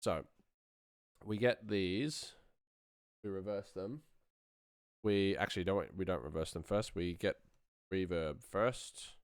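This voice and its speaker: A man's voice: deep voice, slow, pauses often, clean tone, no room sound, clean studio sound. A man talking informally and enthusiastically on a livestream, thinking aloud as he works through sound design. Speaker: sound designer streaming a live work session. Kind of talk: casual livestream chat and tutorial.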